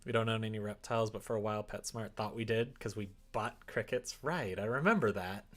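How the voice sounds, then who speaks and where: A man's voice: on the low side, fairly quick. A man live-streaming, chatting casually and easily with viewers in a rambling way, reading out their comments as he goes.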